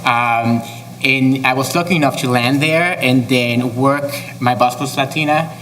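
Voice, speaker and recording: A man's voice: medium pitch, a little slow, a bit bright, a little hiss or hum, poor audio. A man raised in the Bronx, speaking easily as a panelist with frequent ums. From Bronx, New York. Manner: easygoing, many ums. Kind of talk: panel discussion. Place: event hall, live panel.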